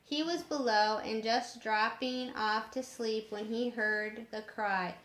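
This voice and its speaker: A woman reading a story aloud in a measured way, sometimes stumbling over harder words, in an echoing room. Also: a little high, fairly bright tone. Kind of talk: storybook narration. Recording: roomy echoing home recording.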